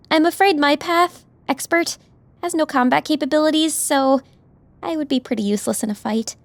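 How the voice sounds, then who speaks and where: A woman playing an anxious, soft-hearted young companion in an audiobook drama, expressive and hesitant. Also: high voice, moderate pace, no echo. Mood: hesitant, apologetic.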